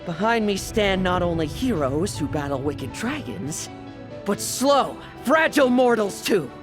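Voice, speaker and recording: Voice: mid-range voice, sing-song, measured pace, over music. An actor voicing a defiant knight who taunts attackers and stands guard for weaker people, in a game cutscene. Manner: bold, taunting battle cries. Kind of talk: video game cutscene voice acting.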